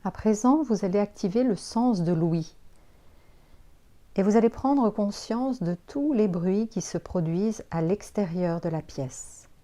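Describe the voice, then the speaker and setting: Slow, mellow woman guiding relaxation. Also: medium pitch. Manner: calm, unhurried, soothing instructions with pauses. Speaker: yoga nidra instructor. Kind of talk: guided relaxation meditation.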